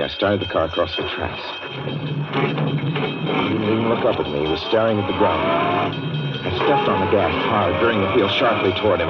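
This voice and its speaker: An actor playing a haunted, increasingly frantic motorist telling his eerie tale in a measured, dramatic 1940s radio suspense style. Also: lowish voice, close to an everyday voice. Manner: measured, dramatic, brooding delivery. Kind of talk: 1940s radio drama acting, first-person suspense narration. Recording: muffled old radio recording with loud music.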